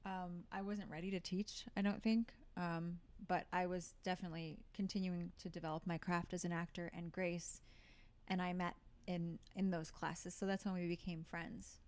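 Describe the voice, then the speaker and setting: Chatty woman actor, steady mid-low voice. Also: normal speed. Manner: thoughtful, with frequent fillers and restarts. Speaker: actor and guest. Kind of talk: casual interview talk.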